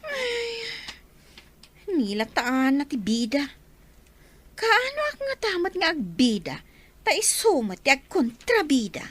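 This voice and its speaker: A proud, dreamy young woman in a radio drama. Her voice is high and very animated, with an emphatic, plaintive edge and an Ilocano accent. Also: slow, pauses often, exaggerated voice. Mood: pouting. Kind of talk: Filipino radio drama acting.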